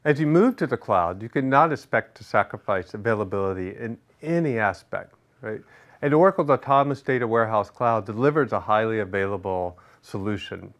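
A middle-aged man gives a scripted product explainer from a studio set. His delivery is steady and instructive, with rhetorical check-ins between points. The sound is clean and close, as from a lapel mic. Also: fairly low pitch. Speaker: product management executive presenting. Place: studio with large screen behind.

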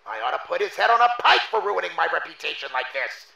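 An actor playing a pompous university dean in a horror drama, indignant and bewildered, with a stammering, pleading manner. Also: male voice, on the high side, very animated, quick pace, vocal fry, pushed and bright, heavily acted. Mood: scornful. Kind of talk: analog horror drama acting. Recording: echoey room with faint noise.

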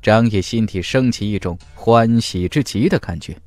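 A man narrating a fantasy audiobook in a dramatic scripted style, with emphatic, deliberate delivery. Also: fairly low pitch, a little mellow, no echo, faint music behind.

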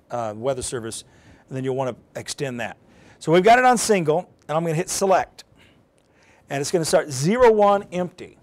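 A middle-aged man presenting weather on TV, giving an informal live how-to and thinking aloud as he goes. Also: on the high side. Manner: informal, thinking aloud while handling a device. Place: TV studio. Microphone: lapel mic.

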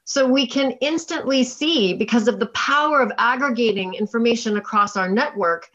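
A woman delivers a conference keynote as a scientist. Her voice sits at an average pitch, and she explains things in a measured way. The audio is a little dull, as on a video call. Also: a bit bright. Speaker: keynote speaker and chief scientist. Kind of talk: conference presentation with slides.

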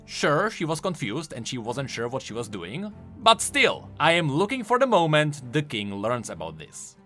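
A man narrates an explainer video in a bright, fairly high voice. His intonation is very animated, with emphatic points made like an enthusiastic gaming commentator. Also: moderate pace. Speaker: video game lore YouTuber. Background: music.

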